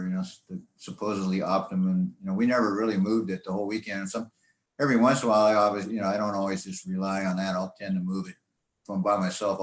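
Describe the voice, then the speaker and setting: A man giving an informal club talk about his hobby in a rambling, halting, folksy way. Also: low voice. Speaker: presenter, amateur radio hobbyist. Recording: echoey room sound.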